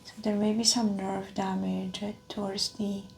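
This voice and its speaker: A woman whispering softly in an ASMR medical exam roleplay, calmly talking through each step of the check. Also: mid-range voice, unhurried, creaky, slight background noise.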